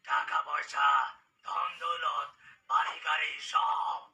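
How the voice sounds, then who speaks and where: Man playing a pompous, slow-drawling mystic conman. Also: a little high, fairly even intonation, distant mic, background noise. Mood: solemn, enticing. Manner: slow, drawn-out, pompous pronouncements. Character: sly mystic holy-man conman, solemn and grandiose.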